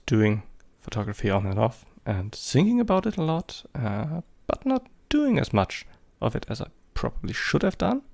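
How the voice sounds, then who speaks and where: Gravelly German-accented man, rambling. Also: mid-range voice, huge pitch swings, moderate pace, few pauses, a little mellow. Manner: rambling, hesitant, many fillers. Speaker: photography vlogger. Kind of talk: casual spoken commentary.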